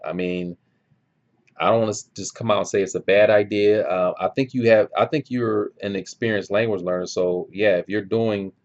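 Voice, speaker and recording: Low-voiced man, easygoing chat. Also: steady tone, moderate pace, darkish tone. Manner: easygoing, thinks aloud, loose and informal. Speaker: language-learning channel host answering viewer questions. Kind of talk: casual unscripted chat. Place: filming himself on camera at home. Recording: dull lo-fi recording.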